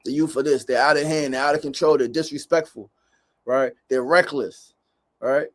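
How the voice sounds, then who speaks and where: A man's voice: mid-range voice, fairly even intonation, normal speed. A man, hosting a casual livestream show, rambling and upbeat, tagging "right?" onto his points. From United States. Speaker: podcast host.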